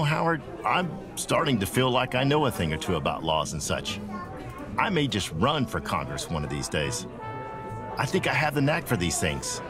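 A cocky American bootlegger played by a man, playful and sure of himself in a Prohibition audio drama. His mid-pitched voice moves around freely, with music clearly behind it. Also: close to an everyday voice. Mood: boastful. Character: cocky, playful male 1920s bootlegger on the rise.

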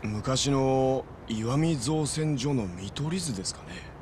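An actor playing an earnest, deferential young yakuza underling in a game cutscene, explaining things with a Hiroshima accent. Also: male voice, mid-range voice, expressive, slow pace, darkish tone, slight background noise. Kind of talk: video game cutscene acting.

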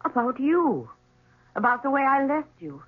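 Earnest widow, tinny radio sound. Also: female voice, high-pitched, sing-song, normal speed. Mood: regretful, earnest. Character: earnest, regretful widow visiting her ex-husband. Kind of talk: 1970s radio drama acting. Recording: tinny 1970s radio broadcast.

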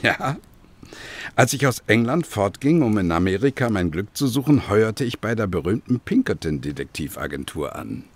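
An actor playing a grave former detective who recounts his past in a measured, dramatic way in a German radio play. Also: on the low side, big pitch swings. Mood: composed, recounting.

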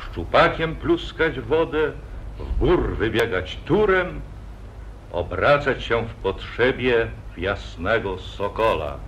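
A man's voice: mid-range voice, very animated, slow, noisy background. Solemn man narrating epic verse. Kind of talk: 1940s radio acting. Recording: dull lo-fi 1948 radio recording.

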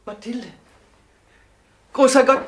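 A woman's voice: high voice, fairly even intonation, slow pace. An actress playing an older, protective mother in a crime radio drama, imperious, agitated and dramatic. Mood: distraught. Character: indignant, protective older mother of grown son.

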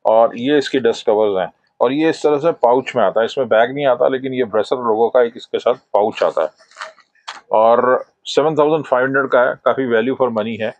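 A man's voice: mid-range voice, moderate pace, no echo, dull audio. A middle-aged man in a shop, explaining binoculars in a product review, hesitating often with "uh" fillers. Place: binocular shop, indoors, shelves of boxes. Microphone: lapel mic.

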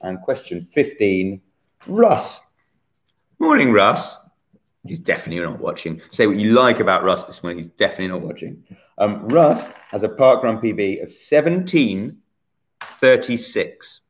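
A man in his forties hosting a casual online quiz from a bright room at home, chatty and teasing, recorded on a laptop webcam. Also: on the low side, very animated, normal speed. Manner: chatty, teasing, self-mocking. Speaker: quiz host.

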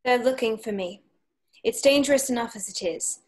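A woman's voice: on the high side, normal speed, close to an everyday voice. A woman playing a conflicted, serious young heroine in a script read. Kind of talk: fan table read of a film script.

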